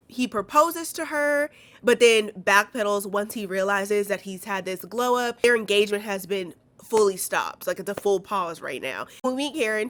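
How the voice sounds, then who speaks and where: Sassy woman host, stuffed-up nasal voice from a cold, mid pitch, chatty movie recap. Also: a bit bright. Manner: chatty, sassy, slangy asides. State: sick and congested, nasal. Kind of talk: casual movie recap commentary.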